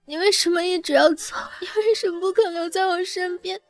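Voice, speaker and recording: A woman's voice: very high voice, brisk, faint music behind. An actress playing a muddled young woman in a costume drama, childlike, pleading and clinging to her little brother. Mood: tearful pleading. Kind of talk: dubbed animated costume drama.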